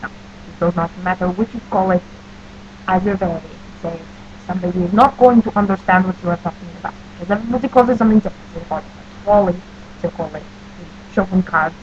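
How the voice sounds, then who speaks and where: A woman with a New Jersey accent answering questions for an audio post in stiff, overly formal phrasing with deadpan silliness. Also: fairly low pitch, dull audio, a little hiss or hum.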